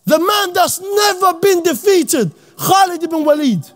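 Guy from iraq preaching hard to the youth, really high voice, fiery and shouty. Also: expressive, a little slow. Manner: fiery, slang-heavy, often shouting. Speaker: Islamic speaker. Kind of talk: impassioned motivational talk to youth.

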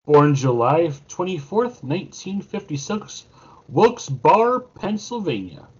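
A man's voice: on the high side, very animated, measured pace, a little mellow, breathy edge, highs cut off. A man giving casual, opinionated banter as a podcast panelist, emphatic and salty-tongued.

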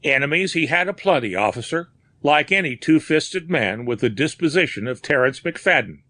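A man voicing an old, grizzled sea captain who talks in old-fashioned sailor's phrasing, gruff and grieving. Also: a little high, expressive, normal speed, pushed and bright, dry recording, voice pushed up. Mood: grieving, guarded. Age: older.

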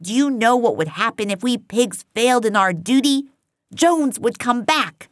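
A wheedling male pig orator , as acted out by a female audiobook reader, slick and emphatic. The pitch swings widely as he pleads, oratorical and insistent, in a dry recording. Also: lively intonation, normal speed, dry recording, lightly acted. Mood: pleading, urgent. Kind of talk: audiobook character voice.

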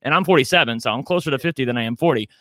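A man's voice: mid-range voice, rapid-fire, sharp tone, dry recording, studio quality. A man in his forties co-hosting a podcast, talking in a chatty, campy, self-deprecating way. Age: 40s. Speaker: podcast co-host. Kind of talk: casual chat.

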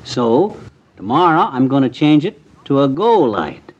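An actor playing a cheerfully stubborn, boastful husband in a 1950s radio comedy. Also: male voice, medium pitch, very animated, measured pace. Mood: pleased, boastful. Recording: muffled 1950s radio recording.

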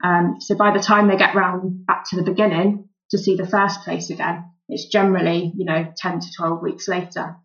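Creaky-voiced woman, low, slightly muffled. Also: flat delivery, moderate pace. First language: English. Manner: thoughtful, many "um" fillers. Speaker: volunteer doctor, guest. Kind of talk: relaxed interview answers.

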